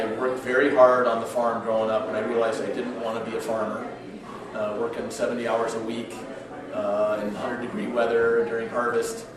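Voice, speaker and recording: Hoarse, earnest man from across the room. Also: medium pitch. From Northern California. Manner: earnest, steady, heavy with figures. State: hoarse from a cold. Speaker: political candidate. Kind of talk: campaign speech. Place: restaurant function room. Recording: echoey room from far off, crowd murmur. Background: crowd chatter.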